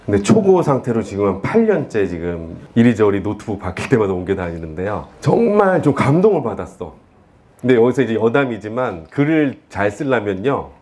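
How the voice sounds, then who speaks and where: A man's voice: fairly low pitch, sing-song, a little fast, darkish tone. A man in his forties, an author giving a talk to an audience, speaking earnestly and in a self-deprecating, confiding way. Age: 40s. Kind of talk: lecture to an audience. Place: lecture room at a book event.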